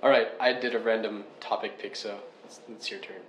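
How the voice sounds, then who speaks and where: A man's voice: on the low side, fairly even intonation, fairly quick, fairly bright tone, muffled recording. A man co-hosting a casual chat, asking curious questions and laughing easily. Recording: echoey room with faint noise.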